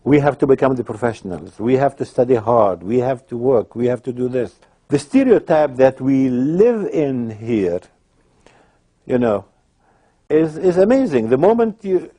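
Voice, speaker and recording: A man from Palestine giving personal testimony in a documentary interview, hesitant at times and then forceful. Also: medium pitch.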